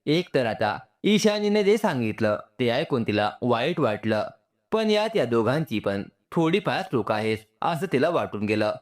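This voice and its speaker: A man narrating a scripted emotional story, voicing the dialogue in an expressive way. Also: mid-range voice.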